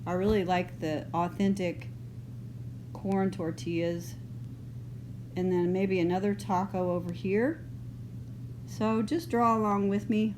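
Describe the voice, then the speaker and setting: Slow, easygoing woman with a mild Texas accent. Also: mid-range voice. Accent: mild Texas, partly northern. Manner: relaxed, unhurried, loose and chatty. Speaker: art teacher. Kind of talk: drawing lesson, thinking aloud. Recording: noisy home recording.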